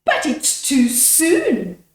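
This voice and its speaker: Woman voicing a high-pitched stubborn little bunny girl. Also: wildly swooping pitch, echoey room, heavily acted. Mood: pleading, protesting. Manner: drawn-out, protesting. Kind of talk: children's storybook read-aloud.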